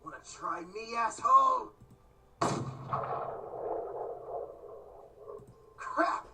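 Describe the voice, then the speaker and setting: An actress voicing a brash, hot-tempered young street fighter who taunts combatively in a visual novel game. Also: female voice, medium pitch, big pitch swings, a little slow, bright, forceful tone, distant mic, full character voice. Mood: taunting, furious.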